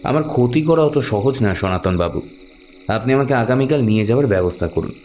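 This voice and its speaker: An actor playing a calm, self-assured male tantric healer in an audio drama, speaking in a measured, probing way. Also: mid-range voice, faint music behind, lightly acted.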